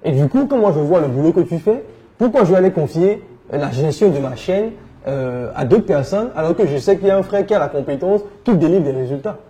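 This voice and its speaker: A man with a West African French accent, answering conversationally as an interview guest, telling his experience in an animated way. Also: fairly high pitch, a little fast. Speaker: interview guest, entrepreneur and coach.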